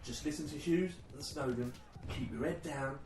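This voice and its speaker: A man's voice: on the high side, normal speed, recorded from a distance, natural voice. A man playing a dutiful, careworn British army sergeant in a war radio play, firm, earnest and paternal. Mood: quiet, reassuring. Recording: roomy, off-mic, faint background noise.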